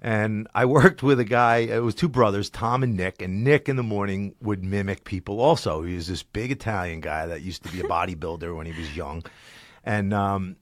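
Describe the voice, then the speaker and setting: A man with a slight cold, chatting as a podcast guest in a studio, telling animated stories and laughing often. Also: lowish voice, normal speed, dry recording. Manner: animated storyteller, laughs often, slips into voices. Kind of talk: casual interview chat.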